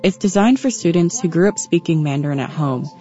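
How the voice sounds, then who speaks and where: A young woman in her twenties tells her own story in a calm, reflective, scripted read. Her voice sits a little low and sounds soft and mellow. The audio is dull and muffled, with faint music underneath. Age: 20s. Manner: reflective, measured. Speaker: narrator. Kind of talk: scripted personal narration.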